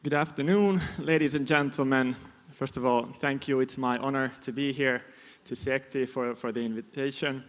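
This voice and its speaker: A man's voice: mid-range voice, steady tone, moderate pace. A man from Finland giving a conference presentation, with frequent fillers and repeated words. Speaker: conference speaker. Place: conference hall. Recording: echoey hall.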